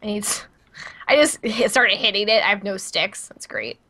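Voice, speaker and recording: Bright-voiced Midwestern American woman. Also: mid-range voice, normal speed. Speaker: gaming streamer. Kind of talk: casual co-op gameplay chat.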